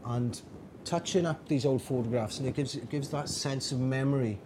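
A man's voice: medium pitch, moderate pace, background noise. A man leading a digital storytelling workshop, giving a reflective, informal talk with many restarts.

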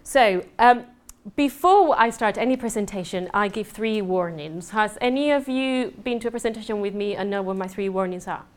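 A woman from southern Spain with a Spanish accent, giving a fast, chatty, joking networking presentation to a room. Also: medium pitch, clear, resonant tone. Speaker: networking speaker. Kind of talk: lively conference presentation. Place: conference room. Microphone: mic at a talk.

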